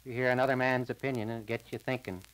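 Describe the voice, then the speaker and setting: An actor playing a thoughtful, plain-spoken small-town man in a 1940s radio serial, folksy and deliberate. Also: mid-range voice, steady tone, a bit bright, natural voice. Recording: crackly 1940s radio transcription disc.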